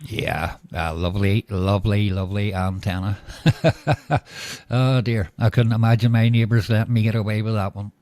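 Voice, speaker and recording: A Northern Irish man chatting over the radio as an operator, measured and full of fillers, closing each turn with "go ahead". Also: on the low side, steady tone, a little mellow, dry recording. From Northern Ireland. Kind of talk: casual radio chat.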